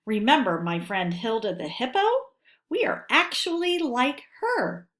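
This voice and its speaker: A woman teacher reading a lesson aloud for children in a deliberate, instructional way, posing questions and answering them. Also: fairly high pitch, very animated, a bit bright.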